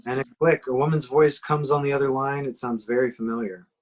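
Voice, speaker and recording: A man's voice: mid-range voice, fairly even intonation, fairly quick, low-quality recording. A man reading action description aloud at an amateur table reading, in a dramatic storytelling way with occasional stumbles. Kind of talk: scripted narration, amateur table read.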